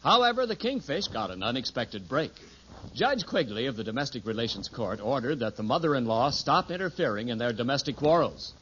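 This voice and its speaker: A man's voice: mid-range voice, big pitch swings, brisk, fairly bright tone, slight background noise. A man reading scripted introductions and narration for an old-time radio show. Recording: muffled vintage radio, music behind.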